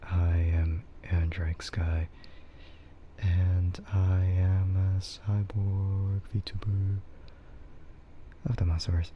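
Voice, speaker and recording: A soft-spoken man streaming casual, rambling ASMR, often slipping into a half-whisper close to the microphone. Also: low-pitched, flat delivery, very slow, pauses often, lo-fi sound, a little hiss or hum. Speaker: VTuber livestreamer.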